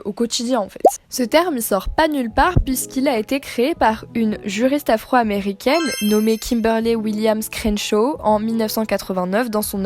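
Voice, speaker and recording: A young woman hosting an explanatory video, talking casually with informal asides and fillers. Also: on the high side. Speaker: video creator.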